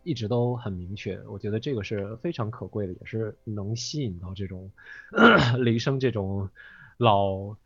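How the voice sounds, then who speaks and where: Lower-voiced man, slightly muffled, chatting. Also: moderate pace, fairly bright tone, slightly rough, no echo. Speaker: interview guest, voice drama performer. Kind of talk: casual panel chat. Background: faint music.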